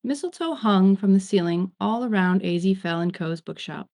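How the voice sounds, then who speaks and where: A woman's voice: medium pitch, animated, normal speed, dark tone. A woman introducing and reading a story aloud. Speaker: fan fiction reader.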